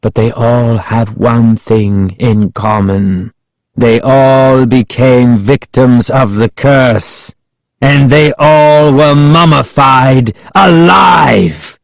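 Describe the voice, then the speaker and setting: Guy doing a creepy zealot guarding an old curse, slow n solemn, proclaiming stuff like a storybook villain. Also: mid-range voice, creaky, dry recording. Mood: cold, proud. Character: menacing fanatical male guardian of an ancient curse. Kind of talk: audiobook character acting.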